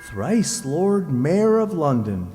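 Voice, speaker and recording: Male actor for humble lovestruck lad, slightly high voice, animated intonation, stammering earnestness, storybook radio play. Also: soft background music. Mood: dreamy. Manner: halting, stammering. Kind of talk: stage-like radio play acting. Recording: echoey hall.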